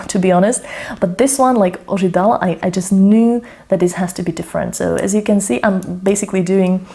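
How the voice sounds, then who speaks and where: A woman's voice: medium pitch, vocal fry, warm tone. A woman whose first language is Slovak, talking through her language study routine, chatty and self-correcting, often checking in with "okay?". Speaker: language mentor. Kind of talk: thinking aloud while demonstrating a study method.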